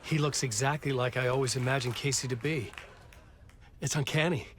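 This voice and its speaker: A man's voice: mid-range voice, a bit bright, slight background noise, close to an everyday voice. An actor playing a bewildered, uneasy male novelist as a talk show guest, speaking hesitantly and naturally. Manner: hesitant, halting, confused. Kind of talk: naturalistic screen acting.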